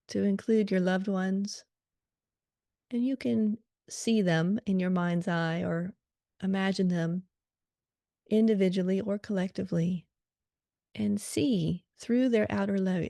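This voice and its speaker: A woman leading a guided meditation prayer in a calm, soothing manner, leaving gentle pauses between short phrases. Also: medium pitch, vocal fry, dry recording, clean studio sound.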